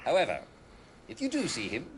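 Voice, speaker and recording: Giddy British broadcast host, swooping pitch. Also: male voice, wildly swooping pitch, fairly quick, noisy background. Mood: giddy, cheerful. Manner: chuckling, hesitant, theatrically jolly, stammering. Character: giddy, chirpy male broadcast host with forced cheer. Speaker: broadcast presenter. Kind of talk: retro British broadcast announcer acting.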